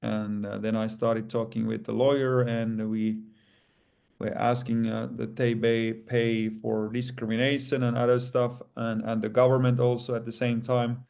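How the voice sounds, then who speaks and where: A man with a Finnish accent, a native Finnish speaker, talking as a guest in an interview in a halting way with many fillers. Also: mid-range voice, little pitch movement, creaky, a little mellow, smooth. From Finland. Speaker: plaintiff in a lawsuit, guest. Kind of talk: casual interview talk.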